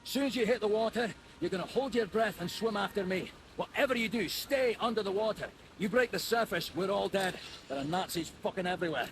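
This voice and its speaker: A gruff rebel leader. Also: male voice, high-pitched, fairly quick, hardly any pauses, noisy background. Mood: commanding. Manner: gruff, profane, commanding. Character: gruff older male Scottish resistance leader. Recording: game audio with loud music.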